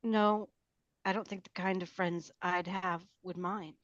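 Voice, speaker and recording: Woman playing a quiet, gloomy teenage misfit, muffled. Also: lowish voice, steady tone, unhurried, no room sound, lightly acted. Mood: guarded. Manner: quiet, subdued. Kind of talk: table read of a film script.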